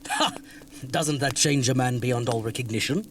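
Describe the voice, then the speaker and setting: An actor playing a genteel older gentleman, formal and reassuring with a nervous edge, in a radio horror drama. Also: mid-range voice, normal speed, slight background noise, lightly acted. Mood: anxious, solicitous. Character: genteel, soothing older gentleman keeping frightened companions calm.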